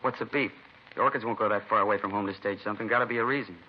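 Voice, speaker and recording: A man playing a wary young American street tough in a vintage radio police drama. He rattles out slangy questions very fast in a fairly low voice. The recording is dull, with a little noise. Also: steady tone, natural voice. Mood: wary, probing. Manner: slangy, rapid, pressing questions. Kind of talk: 1950s radio crime drama dialogue. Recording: muffled, faintly noisy old radio recording.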